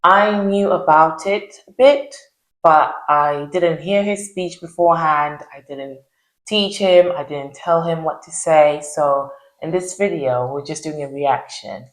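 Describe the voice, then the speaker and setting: Low-voiced woman vlogger. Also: echoey room, low-quality recording. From Zimbabwe. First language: Shona. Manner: chatty, laughs easily, quick asides. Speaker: vlogger host. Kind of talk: casual reaction chat.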